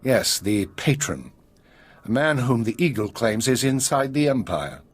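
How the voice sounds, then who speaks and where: An actor playing a guarded intelligence chief in a video game, measured, formal and authoritative. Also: male voice, mid-range voice, big pitch swings, slightly rough. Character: grave, guarded male intelligence spymaster. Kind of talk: video game drama acting.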